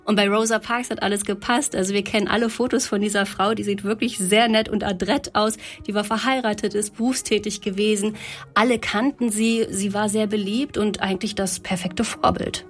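A woman's voice: medium pitch, fairly even intonation, brisk. A woman, speaking as a podcast guest who explains her research in a conversational way.

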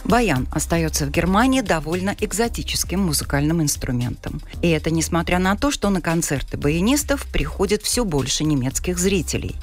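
A woman presents a radio show, reading her lines in a measured, clear way. Quiet music plays softly behind her. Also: fairly low pitch, expressive. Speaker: radio host.

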